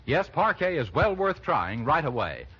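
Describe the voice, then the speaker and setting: A man's voice: a little high, big pitch swings, moderate pace, bright, forceful tone, slightly rough. A man announcing on 1940s radio with a polished, emphatic sales delivery. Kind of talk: 1940s radio ad read and scripted narration. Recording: muffled, hissy 1940s radio recording.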